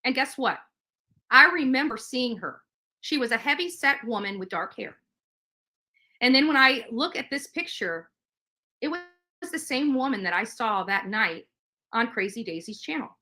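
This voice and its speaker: A woman's voice: fairly high pitch, animated, moderate pace, pauses often, pushed and bright. A woman livestreamer delivering a combative, emphatic rant to her viewers, repeating phrases for stress. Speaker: livestream host.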